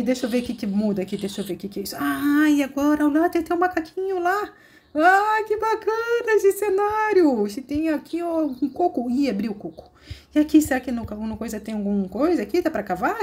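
High-voiced excited woman, a bit hoarse. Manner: excited, chatty, exclamatory. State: somewhat hoarse after a cold. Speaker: host. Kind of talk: playful narrated gameplay.

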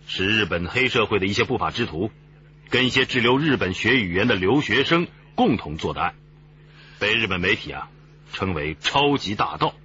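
An actor playing a seasoned police chief who explains things in a measured, authoritative way in a radio drama. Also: male voice, fairly low pitch, lively intonation, pushed and bright. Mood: measured, serious. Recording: slightly dull, noisy recording.

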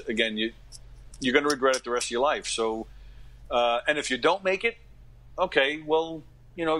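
A man's voice: mid-range voice, fairly bright tone, poor audio. A middle-aged man talking casually as an interview guest, earnest and emphatic, often repeating his phrases. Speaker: podcast guest, actor and comedy radio host.

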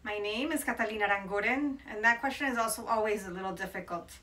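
A woman's voice: medium pitch, quick pace, sharp tone, distant mic. A woman in her forties from Colombia, answering interview questions thoughtfully with frequent hesitations. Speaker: interviewed photographer. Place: bright room by a window, indoors.

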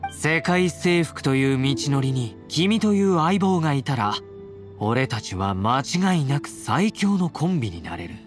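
A man playing a confident, playful young warrior in a Japanese anime-style game, teasing and affectionate in a breezy, smooth-talking way. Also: mid-range voice, animated, unhurried, close to an everyday voice. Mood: confiding. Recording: game audio with background music.

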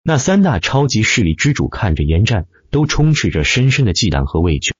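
A man's voice: lowish voice, sing-song, brisk, breathy edge, no room sound, highs cut off. A man reading a fantasy novel as dramatic scripted narration. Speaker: audiobook narrator.

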